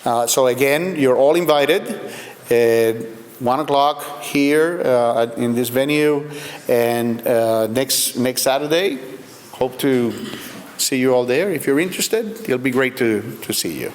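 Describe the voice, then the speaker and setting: A man's voice: mid-range voice, unhurried. A man announcing an upcoming club meeting, hesitant and full of fillers. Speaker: club member announcing an event. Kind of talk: casual announcement. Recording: long hall reverb.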